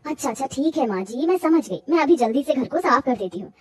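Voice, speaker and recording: Woman voicing a meek, high-voiced young wife. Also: lively intonation, fast talker, a little mellow. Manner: polite, submissive, weary. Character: meek, hardworking poor young daughter-in-law. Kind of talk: cartoon moral-story acting.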